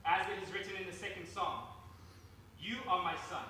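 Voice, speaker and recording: A man's voice: high voice, fairly even intonation, moderate pace, sharp tone. A man giving a scripted reading of scripture as a speech. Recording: very echoey, distant, faint noise.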